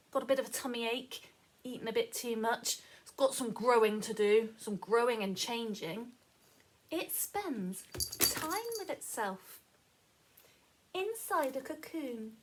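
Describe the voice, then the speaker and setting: A woman reading a story aloud to children as a teacher, explaining as she goes in an expressive, sing-song manner with questions to the listeners. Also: sits high, husky.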